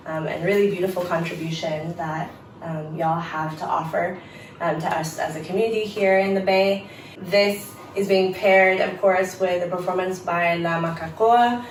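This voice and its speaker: Roomy, distant-sounding woman host, informal. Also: lowish voice. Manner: informal, folksy y'all address. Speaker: host and interviewer. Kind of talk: casual spoken introduction and interview. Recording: roomy, distant mic with faint noise.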